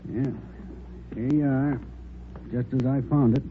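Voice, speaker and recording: An actor playing a friendly, hospitable American bar owner in a 1940s radio drama, chatting in a folksy, conversational way. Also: male voice, mid-range voice, fairly even intonation, unhurried, close to an everyday voice. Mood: chatty. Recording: muffled, noisy vintage 1940s radio recording.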